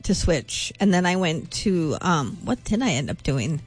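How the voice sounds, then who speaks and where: Husky, lively radio co-host woman. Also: sits low, measured pace, faint music behind. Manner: skeptical, questioning, laughs easily. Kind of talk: casual on-air banter. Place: radio studio.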